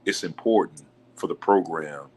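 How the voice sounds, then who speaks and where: A man with a deep voice, a basketball coach being interviewed on a local sports talk show, answering in a measured, polite way. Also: a little mellow, slight background noise. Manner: measured, polite, says "yes, sir". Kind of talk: casual radio show interview.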